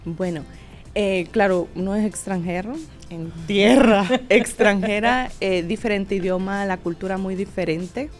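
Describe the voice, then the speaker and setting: A woman's voice: mid-range voice, unhurried, soft background music. A woman from southern Mexico, a religious sister chatting casually and a little hesitantly as a guest. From Oaxaca coast, southern Mexico. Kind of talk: casual, personal interview chat.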